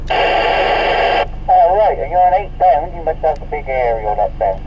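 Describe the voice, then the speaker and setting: A man's voice: fairly high pitch, expressive, measured pace. A man chatting casually over CB radio in a matey, informal way. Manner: matey, informal, acknowledging signals. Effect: CB radio transmission. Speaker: CB radio operator. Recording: muffled distant CB radio, faint noise.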